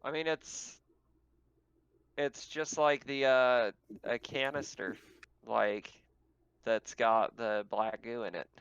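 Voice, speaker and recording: A man speaking as game master, narrating a tabletop roleplaying game in a halting, conversational, descriptive way. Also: mid-range voice, steady tone, fairly bright tone, clean tone, no echo, lo-fi sound.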